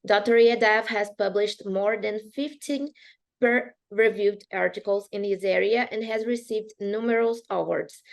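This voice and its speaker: A woman's voice: medium pitch, fairly even intonation, measured pace, creaky. A woman co-host reading out a guest's introduction, with occasional stumbles. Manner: reads a long list with occasional stumbles.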